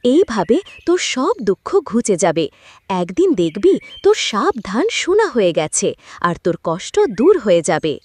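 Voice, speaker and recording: A woman's voice: mid-range voice, big pitch swings, moderate pace, darkish tone, no echo. A woman voicing a kindly mother goddess in a cartoon moral story, tender and reassuring. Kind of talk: animated moral story voice acting.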